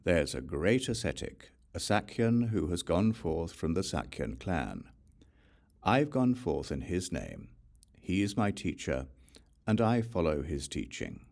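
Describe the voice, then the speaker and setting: A man voicing a humble, serene young Buddhist monk in a scripture audiobook, speaking modestly and deliberately. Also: low voice, wildly swooping pitch, gravelly, darkish tone, full character voice. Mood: humble, calm.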